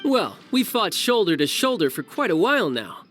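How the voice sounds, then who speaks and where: A man's voice: sits high, very animated, normal speed, loud music behind the voice. A man voicing a cocky, battle-hungry young male warrior in a video game cutscene, breezy and confident.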